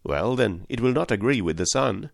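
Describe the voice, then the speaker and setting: A man's voice: fairly low pitch, expressive, normal speed, vocal fry, no room sound, clean studio sound, pitched above the performer's own voice. A man reading an audiobook voices a suspicious male detective who asks short probing questions.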